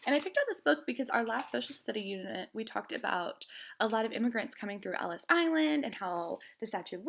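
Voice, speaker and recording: A woman's voice: fairly high pitch, creaky. A woman teacher reading a children's book aloud to her students, friendly and chatty, talking to the class directly.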